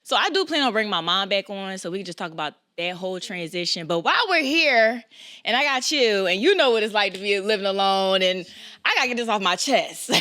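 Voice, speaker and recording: Lively, bright-voiced young woman host. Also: medium pitch, dry recording. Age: 20s. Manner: animated, laughs a lot, slangy. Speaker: podcast host. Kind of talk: casual chat.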